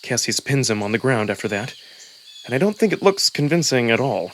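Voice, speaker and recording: A man's voice: medium pitch, very animated, fairly quick, fairly bright tone, slight background noise. A man narrating a visual novel in the first person, theatrical and immersed in the story. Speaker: narrator and voice performer. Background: music under the voice.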